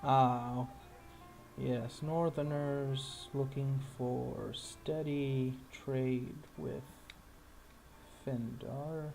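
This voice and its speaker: A man playing an unhurried town official in a tabletop game, speaking in a hesitant, deliberate way. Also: mid-range voice, fairly even intonation, gravelly, darkish tone, soft background music. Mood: helpful, measured. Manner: slow, deliberate, pondering.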